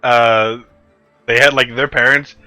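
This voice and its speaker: A man's voice: medium pitch, fairly even intonation, a little slow, bright, forceful tone, dry recording, soft background music, low-quality recording. A man playing in an online tabletop game, chatting casually and joking crudely with free swearing.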